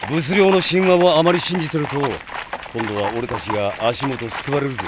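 An actor playing a seasoned, cynical mercenary who talks roughly and casually in a radio drama. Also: male voice, mid-range voice, fairly even intonation, brisk, background noise, close to an everyday voice. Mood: wry, wary. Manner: rough, laid-back, cynical. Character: gruff, wry seasoned mercenary soldier.

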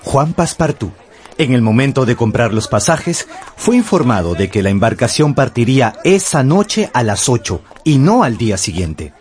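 A man narrating a radio drama from a script in a storytelling, clearly articulated way. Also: medium pitch, big pitch swings, moderate pace, darkish tone. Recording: music behind the voice.

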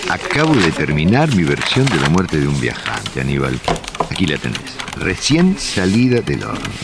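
A dreamy theatre director in a radio play, full of enthusiasm. His voice is extremely low and gravelly, with theatrical delivery over clear background noise. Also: wildly swooping pitch, normal speed, heavily acted. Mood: eager, dreamy. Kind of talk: radio drama acting.

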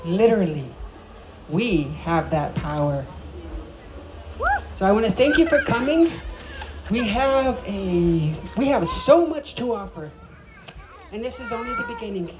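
A woman from North America giving a ceremonial opening speech, solemn and deliberate, in short phrases with pauses. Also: medium pitch, very animated, noisy background. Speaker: ceremony speaker opening a women's circle.

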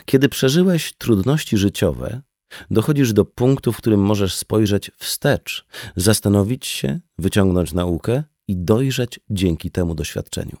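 A man's voice: lowish voice, expressive, unhurried, a little mellow, dry recording, clean studio sound. A man reading a scripted audiobook dialogue as a narrator. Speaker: audiobook reader.

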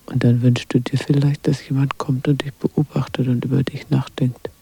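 An actor playing a quiet, reflective listener who comments on loneliness in a hushed, whispery radio drama voice. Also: medium pitch, flat delivery, normal speed, no echo. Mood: musing, hushed. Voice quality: whispery, very breathy. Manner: reflective, aphoristic. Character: quiet, musing interlocutor commenting gently on loneliness.

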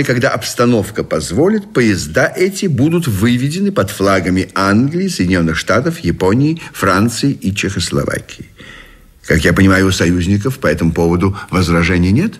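A pompous military general in a radio drama. His voice is low and husky, and it swings widely in pitch as he declaims in formal, official style. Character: official, pompous Allied general dictating terms. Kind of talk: radio play acting.

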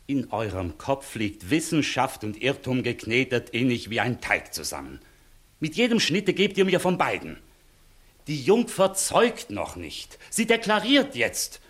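An actor playing a stern, dignified court official, measured and dryly ironic, in a 1960s German radio play. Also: male voice, mid-range voice, sing-song. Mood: dry authority.

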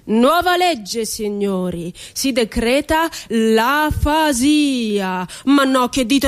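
Stage drama: actress playing impassioned rebel dreamer woman, higher-pitched voice, declamatory, deliberate emphatic delivery. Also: close to an everyday voice. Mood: sardonic. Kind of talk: theatrical dramatic monologue.

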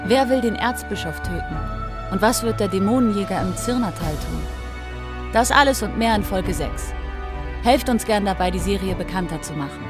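A woman's voice: medium pitch, lively intonation, normal speed. Woman announcer with music behind her. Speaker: series announcer. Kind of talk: scripted podcast outro.

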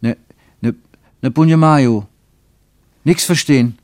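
A man's voice: medium pitch, unhurried, darkish tone, dry recording, clean studio sound, voice pushed up, natural voice. A man voicing a startled elderly East German pensioner on holiday, stammering and colloquial. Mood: flustered.

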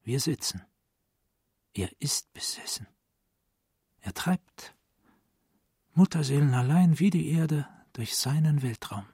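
A man narrating a literary radio play gravely, with deliberate dramatic emphasis. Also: on the high side, expressive, mellow, no room sound, studio quality, exaggerated voice. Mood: intense, hushed.

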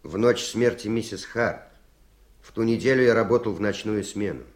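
A man's voice: on the low side, normal speed, lightly acted. A man acting a veteran police investigator giving formal, factual testimony in a radio play. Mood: matter-of-fact. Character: matter-of-fact male police investigator, veteran witness.

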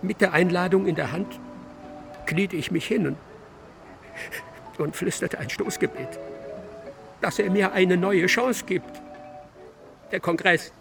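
A distraught man in a literary radio drama, his voice set a little high. He broods and declaims with agitation, circling back on his own phrases as guilt and despair press on him. Faint music runs behind him. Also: fairly even intonation, slow pace, vocal fry, long pauses, heavily acted, rough recording. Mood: confessional.

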